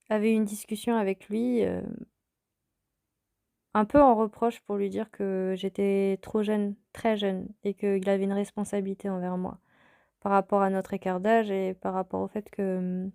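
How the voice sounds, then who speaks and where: Woman in her thirties, podcast host, calm and reflective, even monotone delivery, dry close sound. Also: mid-range voice, creaky, smooth, no room sound. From France. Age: 30s. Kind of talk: personal storytelling podcast.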